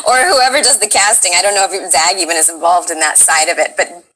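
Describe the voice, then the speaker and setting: A woman's voice: fairly low pitch, fast talker, sharp tone, poor audio. A woman speaking as a guest voice actor in a casual chat, thoughtful, with frequent laughs and fillers.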